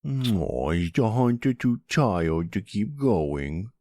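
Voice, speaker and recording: A man playing a flirty, cocky vampire with a gravelly, husky voice, teasing the listener in an intimate ASMR roleplay. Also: fairly low pitch, very animated, slow, darkish tone. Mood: mocking, flirtatious. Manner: teasing, sardonic drawl.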